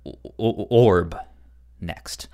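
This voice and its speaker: A man co-hosting a podcast, discussing a TV show casually in a halting way as he feels out his words. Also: deep voice, warm tone, smooth. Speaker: podcast co-host.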